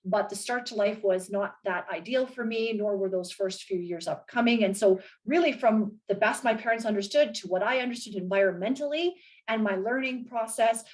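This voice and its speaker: A woman in her late forties from Canada, a podcast guest speaking in an energetic, articulate and reflective way. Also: medium pitch, normal speed. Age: 40s. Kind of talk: casual interview chat.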